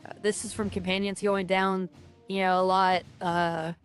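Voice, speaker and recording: A woman with a loud voice chats her way through a video game, circling back with lots of "like" and repeated words. Her voice sits at an ordinary middle pitch. Faint game music plays quietly behind her. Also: level tone, moderate pace, clear, resonant tone. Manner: rambling, lots of fillers and restarts. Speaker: gaming streamer. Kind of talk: casual game commentary.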